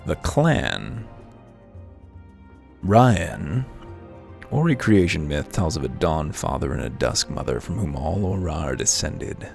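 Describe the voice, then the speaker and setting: A man's voice: low voice, gravelly. A man hosting a let's-play, reading game lore aloud and breaking off for dry, wry asides.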